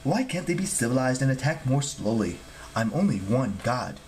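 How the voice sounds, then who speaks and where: A man's voice: mid-range voice, sing-song, moderate pace, a little mellow, slight background noise. A man voicing a fussy, officious statue god who shrieks indignantly about rules in a storybook reading. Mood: huffy complaint.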